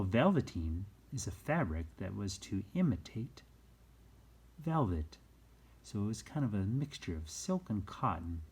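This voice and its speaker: A children's story reader telling a tale aloud expressively, with dramatic hushed dips and emphatic rises. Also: mid-range voice, slightly rough.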